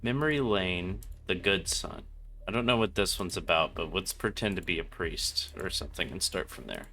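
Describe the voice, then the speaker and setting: A man's voice: low-pitched, normal speed, sharp tone. A man streaming a video game with casual, joking, slangy commentary.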